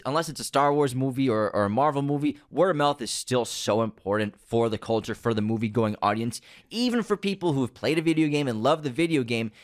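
A man co-hosting a podcast about movies, talking in a lively, animated way, often restarting his sentences and acting out what studio executives might say. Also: fairly high pitch. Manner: animated, quick restarts and mock-quoting studio bosses. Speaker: podcast co-host. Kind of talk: casual chat.

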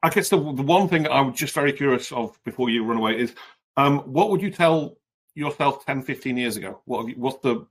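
A man's voice: medium pitch, brisk. A man hosting a podcast, wrapping up the interview in a joking, informal way. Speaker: podcast host. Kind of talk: casual interview wrap-up.

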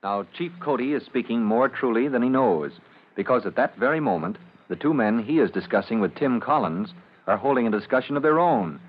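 A man's voice: mid-range voice, expressive, brisk, slightly rough. A man narrating an old-time radio serial as its announcer, in a formal, dramatic scripted delivery. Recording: muffled, noisy old radio recording.

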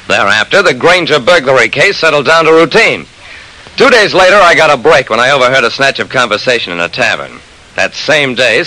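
A man's voice: medium pitch, pushed and bright. An actor playing a shrewd, persistent private investigator narrating his case in an old-time radio drama, with clipped, hard-boiled delivery. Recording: noisy vintage radio recording.

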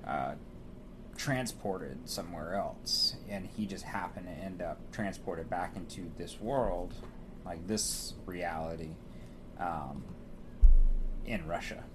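A man chatting casually as a podcast co-host, with clear background noise. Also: sits low, takes it slowly. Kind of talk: casual chat.

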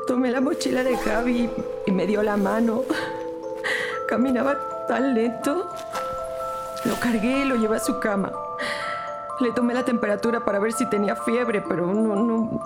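A woman playing a distraught, grieving mother in an audio drama, speaking in a halting, anguished way. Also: a little high, close to an everyday voice. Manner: halting, repeats words, anguished. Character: distraught grieving mother recounting her son's sudden illness.

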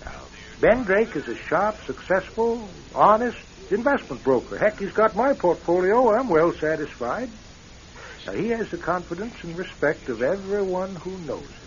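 An American man playing an avuncular, evasive small-town doctor in a radio drama, speaking in a measured, folksy, reassuring way. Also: high voice, very animated, slight background noise. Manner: folksy, measured, circling around answers. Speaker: actor in a radio play. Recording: dull lo-fi radio recording.

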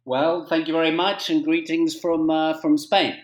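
British male historian, middle-aged, conversational interview guest, measured and hesitant delivery. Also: fairly high pitch, little pitch movement. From Britain. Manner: halting, measured, frequent hesitations. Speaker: guest author, historian and journalist.

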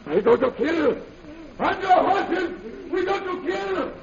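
An actor playing a bloodthirsty, impatient henchman in an old radio serial, wild and excitable, crying out his words repeatedly. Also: very high-pitched, slow pace, full character voice. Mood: bloodthirsty frenzy. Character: bloodthirsty, crazed male killer henchman, impatient and eager. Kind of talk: 1930s radio serial acting. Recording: echoey, muffled, noisy old radio recording.

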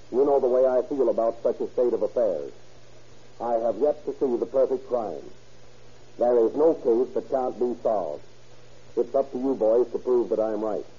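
An actor playing a stern, commanding police chief giving formal orders in old radio drama style. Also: male voice, medium pitch, mellow, slightly rough, close to an everyday voice. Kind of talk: 1930s radio acting. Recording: muffled old radio recording.

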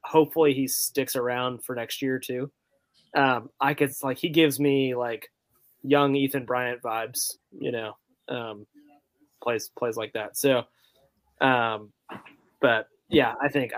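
A man with a medium-pitched voice gives his take as a podcast co-host. He talks informally and a little hesitantly, in loose casual sports chat. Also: steady tone, unhurried.

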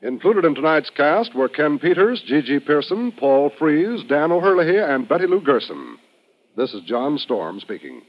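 A man's voice: on the high side, sing-song, normal speed. A man with an American accent announcing in the showy, scripted style of 1950s network radio. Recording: muffled old radio broadcast recording. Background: music.

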